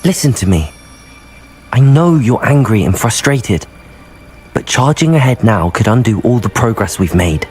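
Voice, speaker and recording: A cautious teenage wizard student, played by a young man in a video game. He sounds earnest and measured yet moves expressively in pitch, with soft music in the background. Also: very animated, lightly acted. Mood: firm, pleading.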